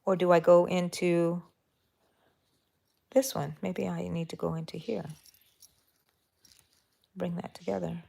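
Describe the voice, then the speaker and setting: A woman's voice: fairly low pitch, level tone, slow pace, gravelly, long pauses, warm tone. A woman from Texas hosting a casual live crochet stream from her bedroom, chatty and thinking aloud as she works and talking to her yarn. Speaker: crochet channel host. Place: bedroom at home.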